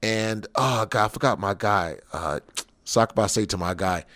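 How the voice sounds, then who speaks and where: A man's voice: lowish voice, normal speed, fairly bright tone, no echo. A man co-hosting a radio show with casual, joking banter and slangy reactions.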